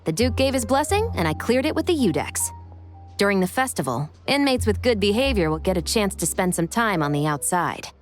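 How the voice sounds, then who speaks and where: A woman playing a brisk, dutiful patrol officer in a video game, with a businesslike manner. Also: medium pitch, very animated, faint music behind, close to an everyday voice. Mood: brisk, friendly.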